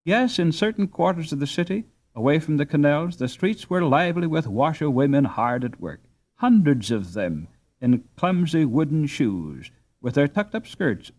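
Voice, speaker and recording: Expressive man narrating a storybook. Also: on the high side. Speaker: audiobook narrator.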